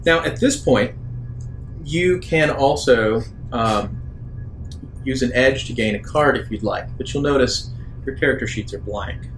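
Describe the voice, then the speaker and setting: A man narrating an improvised tabletop roleplaying game as game master, in a measured way with hesitant pauses. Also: mid-range voice, fairly bright tone. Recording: roomy echo, faint background noise.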